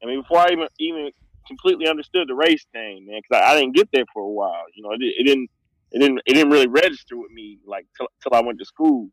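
A man's voice: mid-range voice, lively intonation, quick pace, dry recording, poor audio. A man talking loosely in a casual podcast discussion, rambling and filling with "like" and "you know what I mean".

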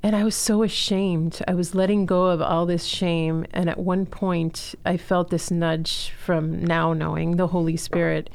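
A woman's voice: fairly low pitch, little pitch movement, a little slow, vocal fry, hardly any pauses, a little mellow, clean tone. A woman in her forties, from Chile and raised in Miami, earnestly telling her personal story as an interview guest. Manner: earnest, heartfelt storytelling with fillers. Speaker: guest, school co-founder.

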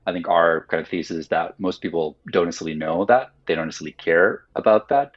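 A man's voice: low voice, fast talker, highs cut off. A man whose first language is Finnish, a guest in a casual interview, explaining his ideas thoughtfully and at length with lots of "like"s. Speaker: guest, company founder.

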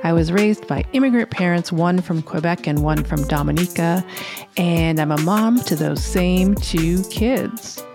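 A woman's voice: lowish voice, unhurried, music in the background. A middle-aged woman presenting and interviewing as co-host of a podcast.

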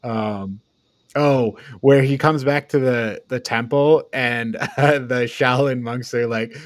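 A man chatting casually as a podcast co-host, haltingly thinking aloud through a review. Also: mid-range voice, fairly even intonation, no echo, clean studio sound.

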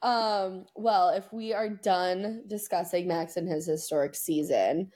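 A woman co-hosting a podcast, chatting casually about sports in an informal, slangy, excitable way. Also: on the low side, unhurried. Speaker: podcast co-host.

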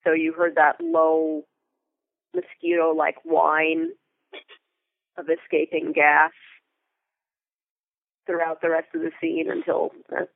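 Creaky-voiced woman on phone line. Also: low-pitched, very animated, slow pace, long pauses, dry recording. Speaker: interview guest, Foley artist. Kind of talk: casual interview storytelling. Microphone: phone or remote call. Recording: tinny, narrow phone-like line.

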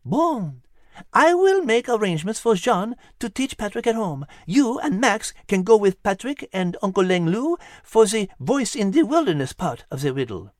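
A woman's voice: medium pitch, big pitch swings, normal speed, a little mellow, dry recording, clean studio sound, natural voice. A woman playing a coy, refined French lady animal with a French accent, hosting a children's audio story in a prim, playful way. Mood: coy, assured.

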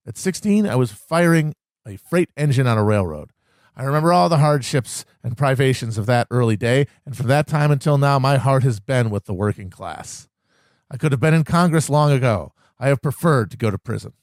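A man's voice: medium pitch, animated, brisk, no room sound, clean studio sound. A man giving a solemn, oratorical reading of a historical political speech.

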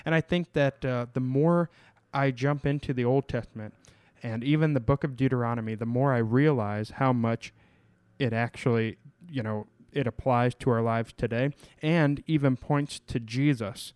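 Smooth-voiced man hosting, halting speech. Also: mid-range voice, steady tone, a little mellow, dry recording. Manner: halting, many fillers and restarts. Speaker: podcast host. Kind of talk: casual conversation about scripture.

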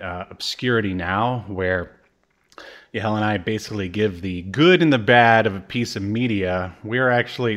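Easygoing man with a mid-pitched voice. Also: a bit bright. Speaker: podcast co-host. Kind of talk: casual chat reviewing old games.

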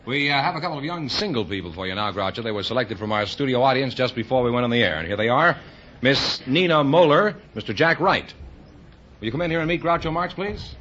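Bright, forceful American radio announcer. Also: male voice, mid-range voice, lively intonation, fast, a little hiss or hum. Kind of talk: 1940s-50s radio announcing. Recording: dull vintage radio recording.

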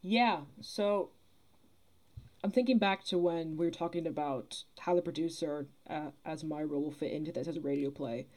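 A woman's voice: low voice, moderate pace, a little mellow. A woman producer chatting as an interview guest, tentative and reflective in how she talks. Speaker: theatre producer, podcast guest. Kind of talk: casual interview chat.

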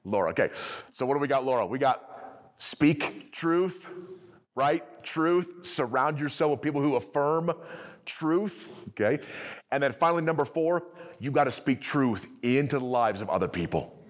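Lively, chatty male preacher. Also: medium pitch, normal speed. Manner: energetic, conversational, quizzes the audience. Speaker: pastor. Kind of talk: sermon to a live congregation. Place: church service with audience.

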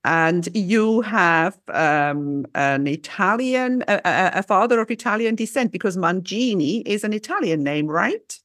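A woman's voice: medium pitch, animated, measured pace, hardly any pauses, fairly bright tone, no echo, clean studio sound. A woman from Switzerland hosting an interview show, chatty and enthusiastic as she asks her guest questions. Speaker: podcast host.

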